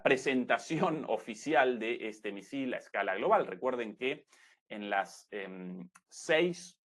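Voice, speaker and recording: A man's voice: mid-range voice, expressive, measured pace, a bit bright. A man who is a native Spanish speaker, hosting live political commentary. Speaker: host of political analysis broadcast.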